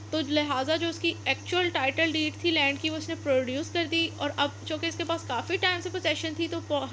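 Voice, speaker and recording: High, bright-voiced Pakistani woman lecturing. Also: slight background noise, rough recording. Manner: repetitive, code-switching between English and Urdu. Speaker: law lecturer. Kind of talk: explanatory lecture, reading statutes aloud then explaining.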